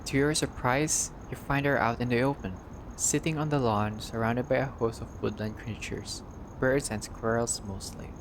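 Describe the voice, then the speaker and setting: A man with a mid-pitched voice narrates a visual novel playthrough. He reads the text like a storyteller and drops in short, casual asides. Clear background noise and ambience sit behind his voice. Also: steady tone, moderate pace. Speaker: gaming channel player reading a visual novel aloud. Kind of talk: playthrough narration, reading game text aloud.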